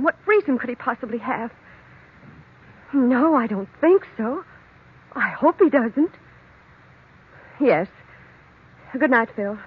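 An actress playing a suspicious, sharp-tongued wife in an old-time radio mystery, with brisk, insistent questioning. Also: female voice, sits high, husky, full character voice. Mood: worried, guarded. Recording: dull, lo-fi old radio broadcast.

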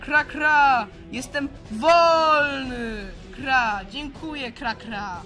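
A woman voicing a grateful, forgetful male crow in a children's audio drama, croaking caws between phrases. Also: high voice, drawn-out words, sharp tone, faint music behind, heavily acted. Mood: elated. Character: grateful, forgetful male crow creature, freed nightmare servant. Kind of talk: children's radio play acting.

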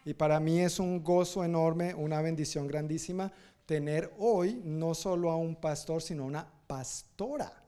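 A man's voice: a little high, measured pace, mellow, smooth. A man speaking as a pastor giving informal, jokey church announcements to a congregation, often correcting himself.

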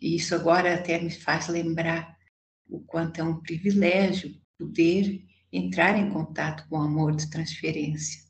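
Female psychologist in her sixties, Portuguese accent tinged with Brazilian, lowish voice, measured and reflective, echoey recording. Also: level tone. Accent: Portuguese softened by decades in Brazil. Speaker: psychologist guest. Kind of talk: reflective conversational interview. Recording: echoey room with background noise.

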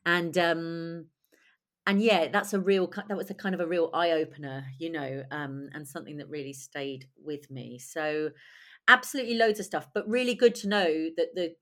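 Husky-voiced woman with a cold. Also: low voice, moderate pace. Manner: chatty, lively, laughs often. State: has a cold. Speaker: guest writer and director. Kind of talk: conversational interview.

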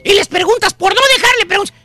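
A man telling a joking radio monologue, shouting his punchlines. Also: very high voice, fast talker, over music. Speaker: radio comedy host. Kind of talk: comic monologue.

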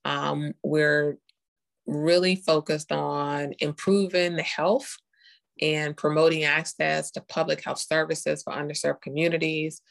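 Woman being interviewed, lots of vocal fry, explains her work, keeps tagging points with right?. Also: deep voice, fairly even intonation, unhurried. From North Carolina, born in Costa Rica. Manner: often ends points with a checking "right?". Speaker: interview guest, nurse informaticist and health tech founder.

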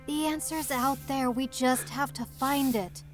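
A woman's voice: high-pitched, fairly even intonation, unhurried, faint music behind. A woman playing a caring but weary young partner, earnest and direct, in a scripted audio drama. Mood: frustrated, pleading.

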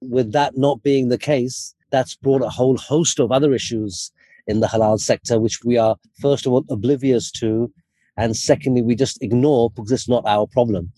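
A man's voice: mid-range voice, dark tone, no echo. A man born in the UK, a guest in an interview, speaking in an earnest, opinionated way. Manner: earnest, opinionated, opens with Arabic invocations. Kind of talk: interview answers.

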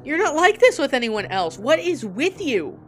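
Woman putting on a high-pitched, desperate pleading husband. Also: sing-song, normal speed, soft background music. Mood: mounting desperation. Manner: pleading, urgent.

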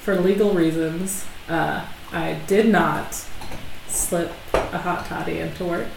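Gravelly-voiced woman chatting, echoey room. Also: on the low side, steady tone, takes it slowly, background noise. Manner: chatty, laughs often, rambling. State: allergies. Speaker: podcast co-host. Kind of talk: casual chat. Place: dining room in a home.

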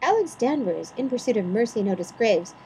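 A woman's voice: on the high side, big pitch swings, a little fast, darkish tone, a little hiss or hum, low-quality recording. A woman co-hosting a podcast, running segments and reading a recap in a mock-dramatic, theatrical way. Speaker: podcast co-host.

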